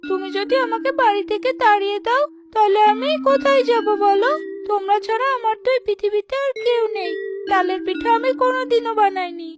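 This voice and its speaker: A woman's voice: extremely high pitch, fairly quick, loud music behind the voice. A woman voicing a meek, plaintive, mistreated young girl in a children's cartoon. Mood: pleading. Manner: plaintive, earnest.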